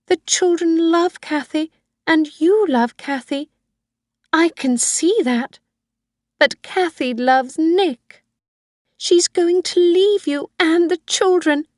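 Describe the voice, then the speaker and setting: A woman's voice: no room sound, clean studio sound. A woman voicing a clinging, obsessive old mother. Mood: pleading, obsessive. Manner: high, pleading and clinging.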